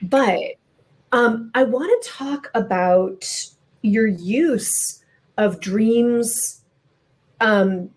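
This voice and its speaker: A woman's voice: a little high, very slow, pauses often, a little mellow. A woman moderating a literary interview, conversational and a little wry, building long questions piece by piece.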